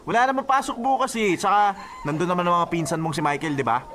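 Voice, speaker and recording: A man's voice: high voice, quick pace, noisy background, natural voice. A man playing a joking young office buddy in a radio drama, bantering and egging friends on. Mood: coaxing. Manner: bantering, exclamatory. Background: music.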